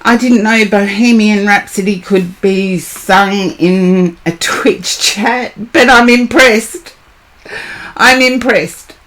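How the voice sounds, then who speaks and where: A hoarse woman, chatting casually on a live stream as a tarot reader, greeting and answering viewers by name. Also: a little high, fairly bright tone.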